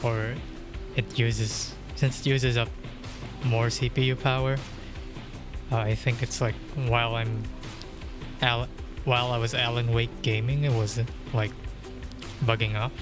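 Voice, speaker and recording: A man's voice: medium pitch, flat delivery, unhurried. A young man chatting casually on a game livestream, rambling with lots of fillers and frequent chuckles, into a dynamic mic at home. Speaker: streamer. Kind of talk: casual livestream chat while gaming. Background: game music.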